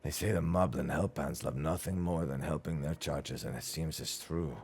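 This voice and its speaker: Male player as older artisan, low register, measured earnest delivery, gruff yet fond, video game. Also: fairly even intonation, darkish tone. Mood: grudgingly grateful. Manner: measured, formal, earnest phrasing. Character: gruff but fond older craftsman nearing retirement. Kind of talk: video game dialogue read aloud in character.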